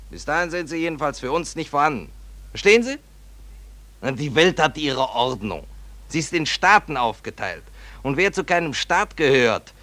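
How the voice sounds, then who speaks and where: A man playing a gruff, impatient police commissioner in 1940s German radio drama, officious, dismissive and emphatic. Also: on the high side, pushed and bright, dry recording. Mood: lecturing, officious.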